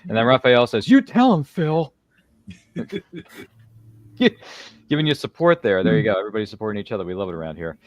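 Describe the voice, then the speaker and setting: A man's voice: lowish voice, lively intonation, a little fast, pauses often, no echo. A man hosting a casual roundtable, chatty and jokey, drifting into slangy asides. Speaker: host. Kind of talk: casual roundtable hosting, reading viewer comments.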